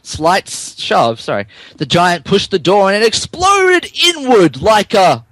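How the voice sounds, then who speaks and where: A man's voice: high voice, very animated, unhurried, a bit bright, dry recording. A man giving a dramatic comic reading of fan fiction as a podcast narrator, amused and tripping over the text.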